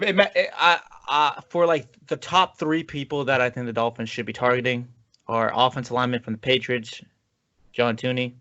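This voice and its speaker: A man's voice: mid-range voice, pushed and bright, clear, resonant tone. Man co-hosting, eager sports talk. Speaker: podcast co-host. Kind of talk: casual sports podcast chat. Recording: dull lo-fi sound.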